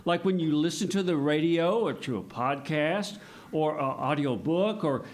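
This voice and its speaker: A man's voice: on the high side, normal speed. A man working as the announcer of a live audio theater show, presenting scripted material and explaining things simply and patiently for children.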